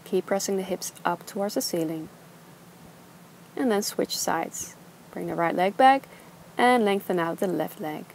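Calm woman guiding a yoga class. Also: on the low side, vocal fry, slight background noise, poor audio. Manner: calm, slow, soothing cues. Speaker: yoga instructor. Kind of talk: guided yoga instruction. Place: outdoors on a beach.

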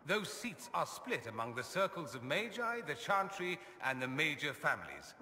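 A man's voice: fairly high pitch, wildly swooping pitch, normal speed, sharp tone, big-room sound, slight background noise, exaggerated voice. An actor playing a witty, vain male mage nobleman who talks in a sardonic, self-amused drawl. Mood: wry. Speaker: companion character. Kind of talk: video game dialogue.

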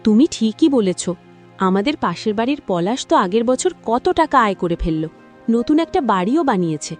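An actress playing a busy, practical farmer's wife in a cartoon. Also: female voice, mid-range voice, big pitch swings, soft background music, natural voice. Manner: brisk, practical. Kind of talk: cartoon voice acting.

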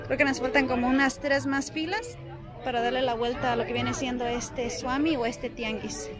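A woman narrating a casual walking vlog outdoors, pointing out items in short, unhurried phrases into a handheld camera. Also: sits high. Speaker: vlogger. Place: outdoor swap meet, walking among stalls. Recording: loud crowd and music behind voice. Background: market crowd and music.

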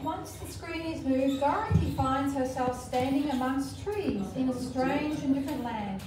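A woman's voice: sits high, a little slow. An older woman reading scripted narration for a live amateur pantomime. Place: care home common room, indoors, before an audience. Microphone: handheld microphone, captured by far camera. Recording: echoey hall, distant microphone, loud room noise.

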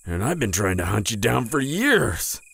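Man acting a husky, flustered wounded hunter. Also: lowish voice, big pitch swings, fairly bright tone, full character voice. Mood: grudging, wary. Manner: stammering, halting, breathy sighs and groans. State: in pain, strained. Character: wounded, proud, flustered young male vampire hunter. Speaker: audio roleplay voice actor. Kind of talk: intimate audio roleplay acting.

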